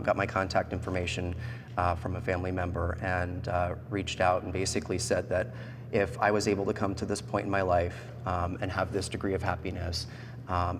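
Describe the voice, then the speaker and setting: A man with an American accent telling personal stories as an interview guest. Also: sits low. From central Pennsylvania, United States. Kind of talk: personal storytelling in a talk show interview. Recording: echoey hall, background noise.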